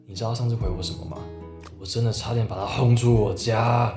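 A man acts an exasperated young office worker telling his own story in a radio drama. His low voice has a Taiwanese Mandarin accent and sits in a roomy, echoing sound over clearly audible guitar music. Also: steady tone, quick pace, lightly acted. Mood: wry, confiding. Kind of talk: radio drama acting with inner-monologue narration.

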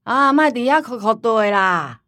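A woman's voice: on the high side, expressive, unhurried, bright, forceful tone, no echo, studio quality. A woman playing a forgetful, plain-spoken old grandmother with a Taiwanese accent in a radio drama. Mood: brusque, busy. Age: older.

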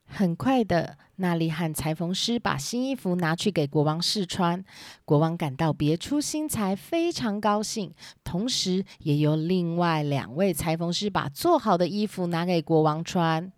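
A woman's voice: fairly low pitch, expressive, a little slow, dry recording, studio quality. A woman narrating a scripted children's story as its storyteller. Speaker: storyteller and host.

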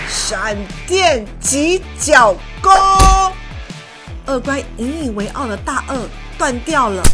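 A woman narrating a children's story in a gentle, expressive manner. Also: a little high. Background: background music.

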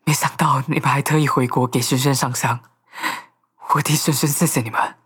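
An actor playing a weary, middle-aged father mourning his son, with a husky voice. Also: mid-range voice, steady tone, a little fast, a bit bright. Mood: sorrowful.

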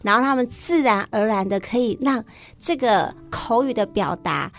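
A woman's voice: on the high side, expressive, moderate pace, faint music behind. A woman with a Taiwanese Mandarin accent, explaining things conversationally as a teacher guest in a radio interview.

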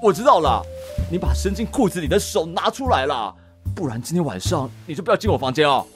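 A stubborn, secretly fond middle-aged man who gets flustered easily. His Taiwanese Mandarin swings animatedly between prickly scolding and bossy instruction, in radio play style, over quiet music. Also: fairly high pitch, sing-song, fast talker. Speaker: voice actor in audio drama. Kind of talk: Mandarin BL audio drama acting.

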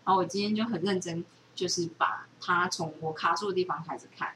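A woman's voice: on the low side, steady tone, fairly quick, fairly bright tone, distant mic, a little hiss or hum, poor audio. A woman with a Taiwanese accent chatting casually as a co-host, dropping English words into her talk. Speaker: podcast co-host. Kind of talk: casual chat.

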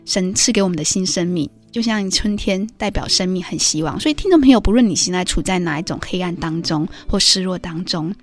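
A woman's voice: on the low side, brisk, few pauses. Woman, radio host, devotional talk, earnest explaining manner, faint music bed.

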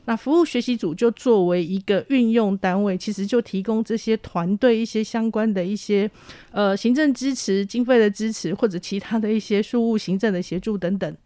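Hesitant Taiwanese woman explaining, mid-pitched. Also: fairly quick, warm tone, dry recording. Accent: Taiwanese Mandarin. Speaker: university staff interviewee. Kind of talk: spontaneous interview explanation.

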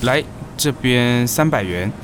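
Man acting a slow-talking, wry young Taiwanese guy. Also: mid-range voice, expressive. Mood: playful, wry. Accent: Taiwanese Mandarin. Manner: muttering to himself, playful. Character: chatty, wry young man new to the neighborhood. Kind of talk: audio drama monologue. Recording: clear noise behind the voice.

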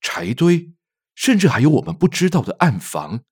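A man playing a reassuring, talkative middle-aged father in an audio drama, explaining things in an expressive, fatherly way. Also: on the high side, moderate pace, breathy edge, no room sound, clean studio sound.

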